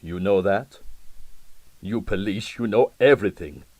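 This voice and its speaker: A man voicing a sulky, jealous, wary theatre dresser in an audiobook, with clipped, halting, foreign-sounding phrasing. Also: lowish voice, darkish tone, dry recording. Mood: wary, insistent.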